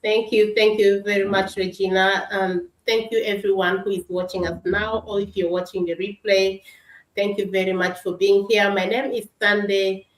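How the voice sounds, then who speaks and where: A woman co-hosts a live online talk show with a mid-pitched voice. She greets viewers, thanks them again and again, and restarts her sentences hesitantly. The sound carries the echo of a bare room. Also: level tone, normal speed. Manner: warm welcoming, repeats thanks, hesitant restarts. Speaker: co-host and business mentor.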